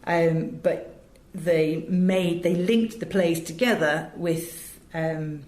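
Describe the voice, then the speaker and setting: An English woman in middle age speaks in a fairly low voice with a roomy echo around it. She is articulate and talkative, telling stories in a conversational interview style and wandering into asides. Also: fairly even intonation, slow pace, darkish tone. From England. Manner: chatty, digressive, articulate. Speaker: interview guest, voice director and radio producer. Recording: echoey, roomy sound.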